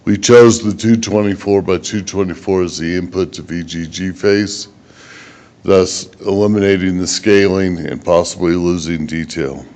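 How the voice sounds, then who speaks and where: A man giving a technical conference presentation as a researcher, in a measured, halting way with occasional stumbles. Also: low-pitched, fairly even intonation, a little mellow.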